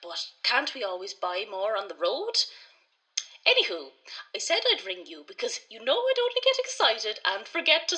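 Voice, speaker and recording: An excitable, grateful older woman, acted by a woman for a radio play voicemail. Her voice is high and very lively, with a bright edge, as she talks fondly to a friend. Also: full character voice, rough recording. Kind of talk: radio comedy-drama voicemail monologue.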